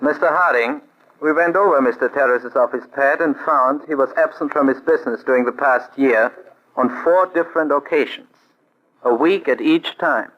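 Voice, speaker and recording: A man's voice: mid-range voice, moderate pace, muffled recording, close to an everyday voice. An actor playing a businesslike male accountant who reports records precisely, in 1940s radio acting.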